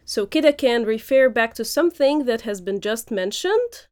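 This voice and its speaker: A woman with a somewhat high voice hosts a language-learning podcast. She explains each point step by step, pausing often with fillers as she teaches. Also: expressive, darkish tone. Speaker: podcast host and Arabic teacher. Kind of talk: lecture-style podcast recap.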